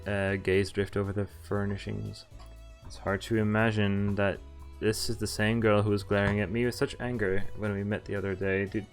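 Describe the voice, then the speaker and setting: A man streaming a visual novel, reading its text aloud in an informal, jokey way and stumbling now and then as he adds casual asides. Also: fairly low pitch. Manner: informal, jokey, stumbling over read lines. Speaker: video game streamer. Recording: music playing behind the voice. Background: game music.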